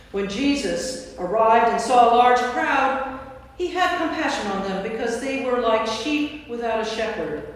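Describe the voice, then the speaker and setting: A woman giving a scripted dramatic narration of scripture as part of a staged reading. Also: on the high side. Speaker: narrator, priest. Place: large indoor church. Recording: echoey church hall from far off.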